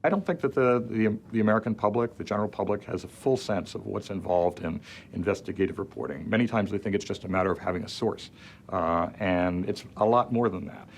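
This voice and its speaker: A man with a very low voice gives deliberate commentary in a documentary interview. He repeats phrases for weight, with faint ambient noise behind him.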